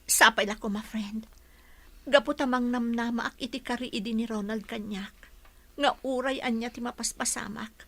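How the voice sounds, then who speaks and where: A woman playing a sorrowful young woman pining for her sweetheart, soft and plaintive, in an audio drama. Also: a little high, fairly even intonation, moderate pace. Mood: sad, longing. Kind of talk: Filipino audio drama acting.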